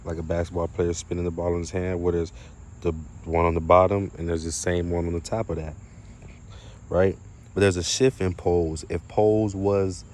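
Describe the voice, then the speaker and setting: A man delivering an unscripted spiritual monologue to viewers, halting and emphatic, often repeating his phrases. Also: low voice, a little mellow. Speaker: spiritual video creator.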